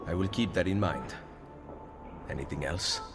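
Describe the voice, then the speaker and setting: An actor playing a commanding assassin leader in a video game, terse and firm, with an Italian accent. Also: male voice, low-pitched, moderate pace, music in the background, close to an everyday voice. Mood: firm, cool. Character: commanding young-to-middle-aged male Italian assassin leader. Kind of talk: video game cutscene acting.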